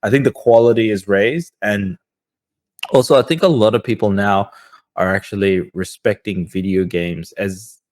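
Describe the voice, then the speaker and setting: A man's voice: fairly low pitch, moderate pace. A young man chatting casually as a podcast host, often repeating words and using fillers as he goes.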